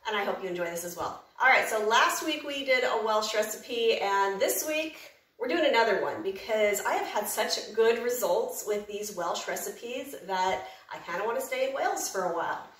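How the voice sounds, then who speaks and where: A woman's voice: medium pitch, lively intonation, moderate pace, a bit bright, echoey room, recorded from a distance, rough recording. An American woman hosting a cooking vlog from her home kitchen, chatty and upbeat, with rambling asides. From United States. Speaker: cooking channel host.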